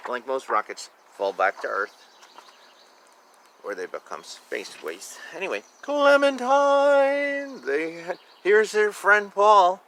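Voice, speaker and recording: Bright-voiced man reading a children's storybook. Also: sits high, slow, a little hiss or hum. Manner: expressive, informal, drawing out words, commenting on the pictures. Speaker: children's book reader. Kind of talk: animated storybook read-aloud with casual asides.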